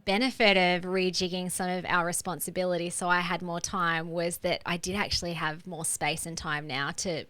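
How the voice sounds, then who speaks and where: A woman's voice: lowish voice, level tone, moderate pace, few pauses, a bit bright, no room sound. Chatty woman, dry close mic. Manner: chatty, open, laughs often, many "like" fillers. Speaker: podcast co-host. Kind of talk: casual personal storytelling.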